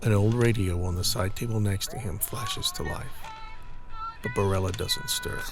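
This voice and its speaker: Low-voiced man narrating over prominent music. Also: moderate pace, gravelly. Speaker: narrator of an audio drama. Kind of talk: scripted dramatic narration. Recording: dramatic music under the voice.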